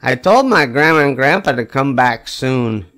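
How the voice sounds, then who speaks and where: An older man reading a children's picture book aloud expressively, with emphatic phrasing and drawn-out wry punchlines. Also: mid-range voice, animated, fairly bright tone. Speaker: storybook reader.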